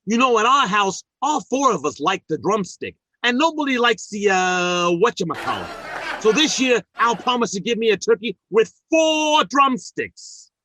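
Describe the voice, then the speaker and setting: A man's voice: sits high, very animated, moderate pace, bright, forceful tone, no echo, exaggerated voice. An actor playing a good-hearted, blustery working-class father in an old-time radio comedy, folksy and ungrammatical, with sentimental turns. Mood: jovial, sentimental.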